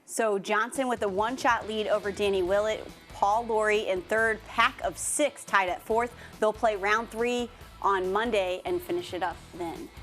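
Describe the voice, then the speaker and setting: A woman's voice: mid-range voice, normal speed, fairly bright tone. Female American sports presenter and interviewer, mid-range voice, faint music underneath.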